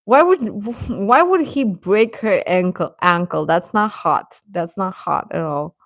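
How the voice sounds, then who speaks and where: A woman's voice: mid-range voice, lively intonation, slow pace, dry recording, studio quality. A young adult woman hosting a live stream, chatting informally with her viewers and often chuckling as she talks.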